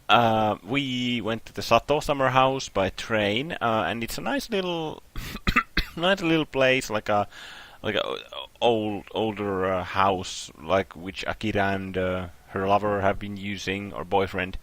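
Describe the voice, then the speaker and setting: A sleep-deprived young man hosting a lets play, talking slowly with a raspy voice and rambling with lots of fillers. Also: lowish voice, fairly bright tone, no room sound. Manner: slow, rambling, full of fillers and restarts. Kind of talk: casual gaming commentary.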